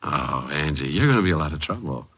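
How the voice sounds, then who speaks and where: An actor playing a breezy, wisecracking hardboiled private detective with sardonic, slangy patter in old radio drama style. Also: male voice, a bass voice, big pitch swings, quick pace, breathy edge, exaggerated voice. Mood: wry, teasing. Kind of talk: 1940s-50s radio detective drama acting. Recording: muffled vintage radio recording.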